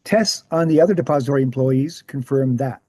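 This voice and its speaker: A man's voice: mid-range voice, a little fast, warm tone, no room sound, natural voice. A man playing a jovial, assured older researcher who can turn hard, in a screenplay table read. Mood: assured explaining. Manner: assured, explanatory.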